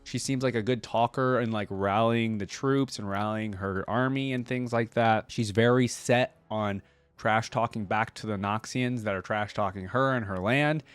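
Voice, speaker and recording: A man's voice: on the low side, moderate pace, smooth, no echo. Man, casual reaction host, enthusiastic, musing aloud, dry close sound, faint music.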